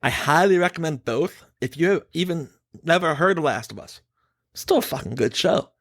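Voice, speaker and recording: A man's voice: a little high, expressive, moderate pace, fairly bright tone, dry recording, studio quality. A man hosting a casual podcast, giving his opinions emphatically and swearing casually. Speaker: podcast host.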